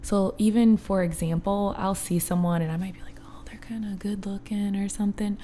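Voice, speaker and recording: A woman's voice: medium pitch, steady tone, a little fast, warm tone, a little hiss or hum. A thirty-year-old woman, a podcast guest, chatting casually and animatedly, acting out what people say and laughing often. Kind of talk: casual chat.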